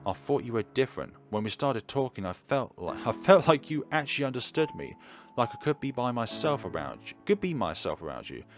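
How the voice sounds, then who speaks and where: A man's voice: medium pitch, sing-song, brisk, faint music behind, pitched above the performer's own voice. A man reading the part of a hurt, withdrawn teenage schoolgirl in a visual novel. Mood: disappointed.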